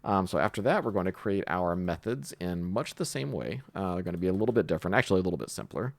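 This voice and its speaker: Man, tutorial host, very low voice, creaky fry, casual explaining, halting think-aloud delivery. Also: animated, no echo. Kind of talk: casual screencast coding tutorial, explaining while typing.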